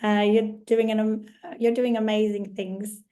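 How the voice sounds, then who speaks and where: A woman's voice: mid-range voice, little pitch movement, measured pace, dark tone. A woman hosting a podcast interview in a casual chat style, her voice still worn from a recent illness, friendly and rambling with restarts. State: recovering voice after illness. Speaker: podcast host.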